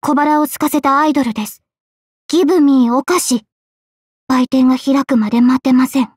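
A woman playing a blunt, deadpan, snack-loving idol girl in a game, speaking politely. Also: sits high, normal speed, no room sound, studio quality, natural voice. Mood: deadpan, hungry. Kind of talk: Japanese game voice acting.

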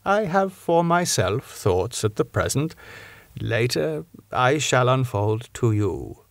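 Man acting a grave old doctor, slow and measured. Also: medium pitch, big pitch swings, creaky, no echo, exaggerated voice. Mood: grave, counselling. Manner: slow, measured, quaint broken grammar. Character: old Dutch doctor, grave, kindly, teacherly, parable-loving.

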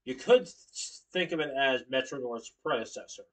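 A man's voice: medium pitch, animated, normal speed. A young man from Connecticut giving an informative class speech at home, standing and gesturing, sometimes correcting himself. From Connecticut, USA. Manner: standing, gesturing while speaking, occasional self-corrections. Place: living room at home, indoors. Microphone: webcam across the room. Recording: voice from a distance.

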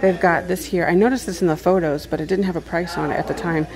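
A woman's voice: lowish voice, fairly even intonation, fast talker, poor audio. A woman vlogger giving casual commentary as she shops, half murmuring to herself while browsing. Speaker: vlogger, antiques reseller. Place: house and garage at estate sales. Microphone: handheld phone or camera.

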